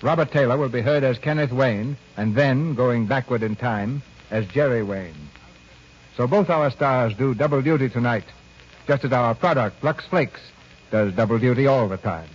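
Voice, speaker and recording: A man hosting a classic radio drama, announcing and narrating from a script in a polished, measured way. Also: medium pitch, breathy edge. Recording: dull old radio broadcast. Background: music.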